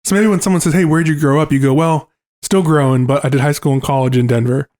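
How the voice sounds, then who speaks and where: Thoughtful young man, somewhat high voice. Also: steady tone, fast talker, darkish tone. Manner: thoughtful, reasons things through aloud. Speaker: podcast co-host. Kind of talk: casual podcast conversation.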